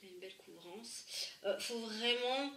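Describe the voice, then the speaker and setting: A woman's voice: a little high, normal speed, fairly bright tone. A woman with a head cold chatting through a makeup tutorial, hesitant and full of fillers and restarts, recorded at home. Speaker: beauty vlogger. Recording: echoey room, camera a bit far.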